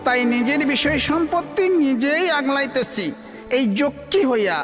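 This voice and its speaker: A man's voice: very high-pitched, lively intonation, normal speed, a bit bright, soft background music. A man playing an old miserly treasure-guarding ghost with an East Bengali accent, rustic and drawling, in a comic audio drama. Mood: boastful, reminiscing.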